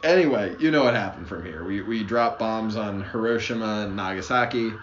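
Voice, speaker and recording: A man's voice: lowish voice, a little fast, roomy sound, dull audio, a little hiss or hum. A man co-hosting a casual history podcast, rambling with lots of fillers and restarts and casual swearing. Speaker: podcast co-host.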